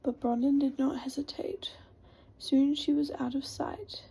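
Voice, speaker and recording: A woman reading a story aloud in a hushed whisper. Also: sits high, level tone, measured pace, vocal fry.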